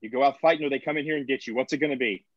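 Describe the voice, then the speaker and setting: A man's voice: mid-range voice, rapid-fire, lightly acted. A man playing an urgent, commanding colony leader rallying people in a screenplay table read. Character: Lydecker, urgent commanding male leader rallying a frightened crowd. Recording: roomy, dull lo-fi audio.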